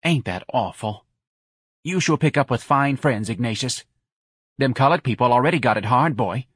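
A man voicing a sentimental, fussy older mother, talking in a high, pleading, chatty way. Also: lively intonation, normal speed, a bit bright, husky, no room sound, studio quality. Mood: scolding. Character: fussy elderly working-class mother, sentimental.

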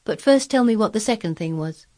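A woman's voice: medium pitch, expressive, brisk, dark tone, pitched above the performer's own voice, natural voice. A woman voicing a spoiled, sickly boy of a manor in storybook dialogue, grand and demanding. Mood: curious, commanding. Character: imperious, spoiled, sickly ten-year-old boy of a manor.

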